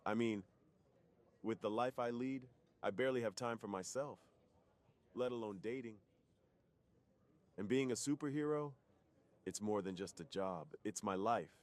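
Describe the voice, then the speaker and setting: A man's voice: lowish voice, measured pace, long pauses, natural voice. A man playing a weary, secretive superhero on a date, earnest and confiding, in an intimate ASMR roleplay. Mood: warm, open.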